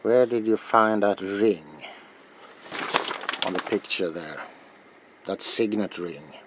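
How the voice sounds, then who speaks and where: Guy doing a grim old vampire hunter, really deep voice, whispery dramatic asmr roleplay, bit of background noise. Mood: grave, alarmed. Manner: deliberate, dramatic, dropping into breathy whispers. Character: grave older male vampire hunter, weary, ominous, obsessed. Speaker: character performer in roleplay. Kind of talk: slow theatrical ASMR roleplay monologue.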